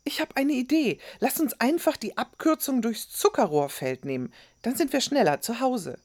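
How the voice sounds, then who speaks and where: An eager young boy, played by a woman storyteller, pitched well above her own voice. He sounds urgent and a little breathless, quick to plead and defend himself, as in a children's storybook. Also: sing-song, a little mellow. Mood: eager, urging.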